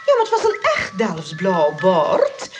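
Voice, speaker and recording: A woman's voice: on the low side, wildly swooping pitch, unhurried, over music. An actress playing a chatty, grasping shopkeeper in a Dutch radio play, coy and wheedling as she feigns kindness. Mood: haggling, insistent. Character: chatty, grasping female souvenir shopkeeper, feigning kind-heartedness.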